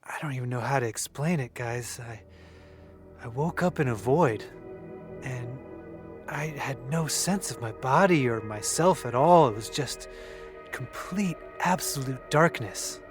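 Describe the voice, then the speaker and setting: A young man acting in an audio drama as a shaken young man who tells of things earnestly, with halting pauses. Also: medium pitch, expressive, music in the background, lightly acted. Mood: awed, haunted. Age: young adult. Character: shaken young man recounting an eerie vision.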